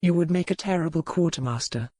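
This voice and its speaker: A woman's voice: on the low side, fast, dark tone, no echo, studio quality, natural voice. A woman voicing a brusque, teasing male pirate quartermaster with blunt, bantering delivery in an audiobook. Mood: mocking banter.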